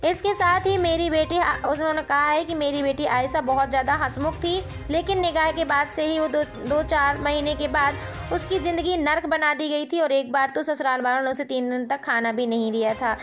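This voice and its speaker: A woman with a high-pitched voice presents the news for an online channel. She narrates in an informal way, speaking straight to her viewers. Soft music plays behind her. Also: steady tone, moderate pace.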